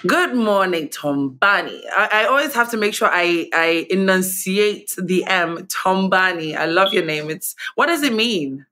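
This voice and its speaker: A young Ghanaian woman with a Ghanaian accent, chatting as a guest over a remote call, lively with frequent laughs. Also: mid-range voice, measured pace, few pauses. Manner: lively, laughs often, many fillers. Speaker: guest, TV host. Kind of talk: casual interview chat. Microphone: video call.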